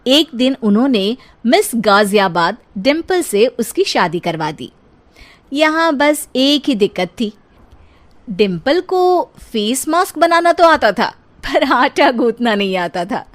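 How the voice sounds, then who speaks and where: A woman's voice: on the high side, very animated, slow pace. A woman narrator telling a scripted comic family tale. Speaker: story narrator.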